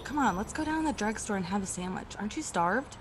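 A woman playing a gently sceptical, concerned friend and narrator in a classic radio suspense play. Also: medium pitch, lively intonation, fast, slight background noise, close to an everyday voice. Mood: reassuring, practical.

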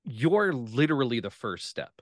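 A man's voice: mid-range voice, very animated, moderate pace, no echo. Enthusiastic man in a close, dry recording. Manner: enthusiastic, punctuates points with "right?". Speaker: playwright and actor, podcast guest. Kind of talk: casual interview chat.

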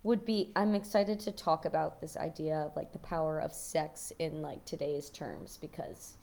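A woman's voice: sits low, fairly even intonation, normal speed, vocal fry, dark tone. A woman co-hosting a podcast, chatting informally about TV, feeling her way through thoughts and prone to giggling. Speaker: podcast co-host. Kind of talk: casual chat about a TV show.

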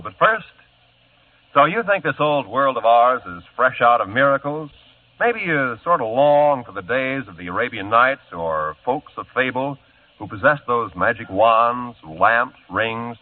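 A man's voice: mid-range voice, expressive, moderate pace. A male announcer reading a station promo and show introduction in a polished old-time radio style. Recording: tinny old radio broadcast.

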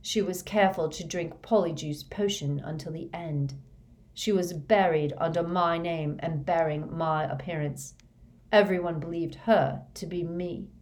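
A woman voicing a dazed younger male villain in an audiobook, confessing in a flat, expressionless way. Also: fairly low pitch, a little slow, lightly acted. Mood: dazed, emotionless. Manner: flat, expressionless, drugged monotone. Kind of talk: audiobook character voice.

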